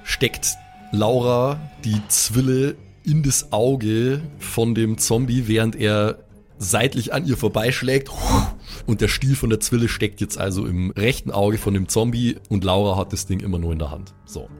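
Music plays clearly behind a man with a fairly low voice. He runs a tabletop role-playing game, improvising the action in casual speech sprinkled with English gamer terms. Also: measured pace, a little mellow. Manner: mixes English gaming slang into his German. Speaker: game master.

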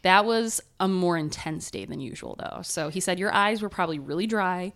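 A woman's voice: on the low side, animated, normal speed, a bit bright. A woman with a nasal voice, co-hosting a podcast and telling a story in casual chat, laughing often. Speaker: podcast co-host.

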